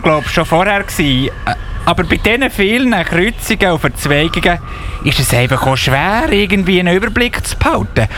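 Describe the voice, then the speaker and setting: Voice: mid-range voice, fairly bright tone, slight background noise, lightly acted. An actor playing a polite, hesitant little male Christmas elf in a live radio play, with a Swiss accent. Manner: hesitant, polite, often apologising.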